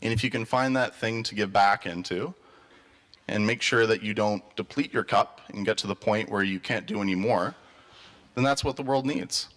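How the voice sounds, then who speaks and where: A man's voice: lowish voice, normal speed, fairly bright tone. A young man from Canada giving an earnest talk to an audience and then answering questions. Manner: earnest, storytelling. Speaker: speaker.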